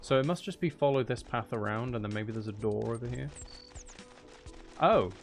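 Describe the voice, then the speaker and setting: A man's voice: medium pitch, expressive, normal speed, creaky, soft background music. A man streaming a video game, thinking aloud in a casual, playful running commentary. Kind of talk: casual live gameplay commentary.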